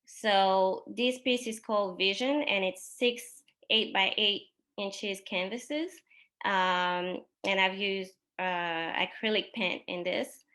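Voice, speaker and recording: A woman whose first language is French, possibly from Mali, presents her own artworks in an unhurried talk, pausing often with ums. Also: mid-range voice, fairly even intonation, pushed and bright. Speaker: exhibiting artist.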